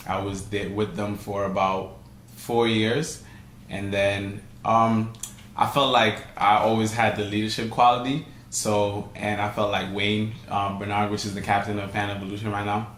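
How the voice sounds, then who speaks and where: A man's voice: fairly low pitch, little pitch movement, moderate pace, a bit bright, slight background noise. A young man from Trinidad answering interview questions, hesitant, with many fillers and restarts. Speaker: guest, steelpan arranger. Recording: echoey room, faint ambience.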